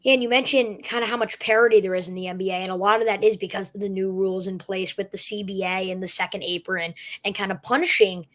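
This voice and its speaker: A woman hosting a sports show, asking long, steady questions with casual fillers. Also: mid-range voice, fast talker, hardly any pauses. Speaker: show host, interviewer.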